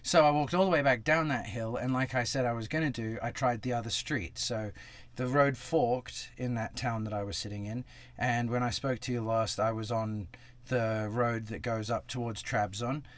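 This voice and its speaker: A man's voice: medium pitch, fairly even intonation, moderate pace, few pauses. A young man talking casually to camera in a travel vlog, rambling with lots of fillers and restarts, sitting in a truck cab. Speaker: travel vlogger. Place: inside a parked truck cab.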